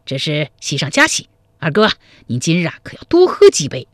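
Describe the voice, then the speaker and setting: A woman voicing a hearty, generous male host in a storytelling radio serial, speaking brisk and open. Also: low-pitched, huge pitch swings, dry recording, clean studio sound.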